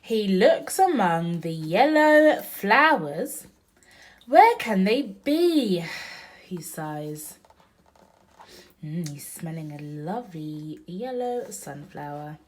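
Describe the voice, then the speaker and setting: Husky woman reading slowly, sing-song, to a child. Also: on the low side, sing-song. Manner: sing-song, slow emphatic reading of the story; child-directed, asking questions and pointing out pictures. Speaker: mother reading aloud to her young child. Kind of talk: bedtime picture-book read-aloud with chatty asides.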